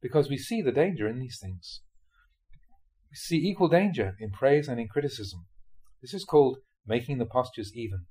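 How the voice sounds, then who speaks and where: A man, a Buddhist monk, reads translated spiritual teachings aloud in a scripted audiobook style, with measured, deliberate phrasing in short clauses. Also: mid-range voice, animated, dark tone. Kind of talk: scripted audiobook-style reading of dharma talks.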